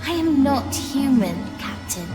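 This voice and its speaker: Game role: plaintive female spirit of a ship, high-pitched, animated, innocent and earnest. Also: unhurried, loud music behind the voice. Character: youthful, questioning, wistful female spirit of a living ship. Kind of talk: video game dramatic acting.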